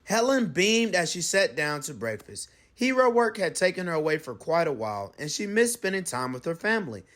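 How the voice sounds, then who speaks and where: High, animated male storybook reader. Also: a little fast. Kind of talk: expressive children's read-aloud narration.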